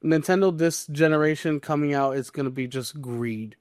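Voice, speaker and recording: A man's voice: a little high, moderate pace, no echo, studio quality. A man hosting a podcast in casual chat, speaking in an emphatic, opinionated way. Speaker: podcast host.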